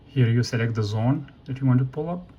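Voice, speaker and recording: A man giving a casual, unscripted software walkthrough in a halting way, thinking aloud and correcting himself. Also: mid-range voice, lo-fi sound. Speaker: tutorial presenter. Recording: noisy room with keyboard typing. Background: computer keyboard clicks, ambient noise.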